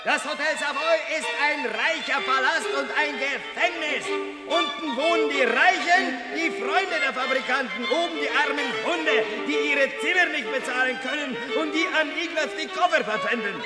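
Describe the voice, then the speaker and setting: A man's voice: very high voice, moderate pace. An actor playing a fervent male revolutionary agitator, declaiming and exclaiming in a radio drama speech. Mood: rousing, defiant. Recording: echoing hall, loud music behind.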